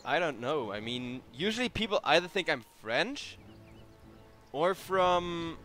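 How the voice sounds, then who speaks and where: A man's voice: fairly high pitch, lively intonation, a little slow, pushed and bright, soft background music. A tired young man from Germany, casting a live game on his own and chatting to viewers between plays. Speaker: esports caster. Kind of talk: solo live game commentary.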